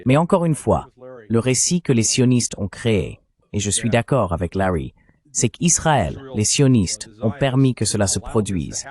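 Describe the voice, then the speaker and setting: A man's voice: lowish voice, a little mellow. A man's synthetic dubbing voice reading translated political commentary as a discussion panelist.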